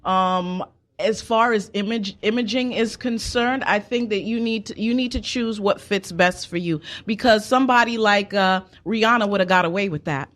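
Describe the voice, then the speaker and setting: A woman with a medium-pitched voice speaks as a guest in a casual radio chat. She is conversational and tends to repeat words while making a point. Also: normal speed, fairly bright tone. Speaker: musical artist guest. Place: radio studio.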